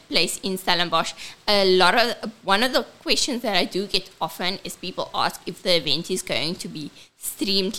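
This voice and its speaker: Squeaky-voiced South African woman. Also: pushed and bright. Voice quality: squeaky, childlike voice. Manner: earnest and heartfelt, switching between English and Afrikaans. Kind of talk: personal testimony and event announcement.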